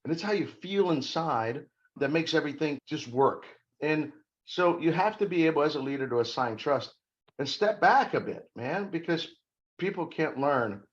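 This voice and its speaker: A man's voice: a little high, animated, normal speed, muffled recording. A middle-aged man from Brazil, a guest on an interview podcast, talking emphatically about leadership and making self-deprecating jokes. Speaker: corporate security guest.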